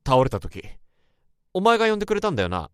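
An actor playing a troubled male office worker who narrates his own story in a radio drama, in a brooding, halting way. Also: on the high side, huge pitch swings, a bit bright, clean tone, no room sound, clean studio sound. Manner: brooding, halting inner monologue. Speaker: narrator and protagonist. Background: music.

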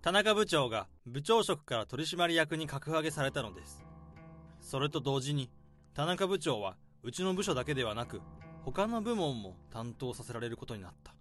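An actor playing an overworked male office worker laying out a case, in a radio drama, speaking calmly and methodically. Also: on the high side, moderate pace, faint music behind. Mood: explaining. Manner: calm, methodical explaining.

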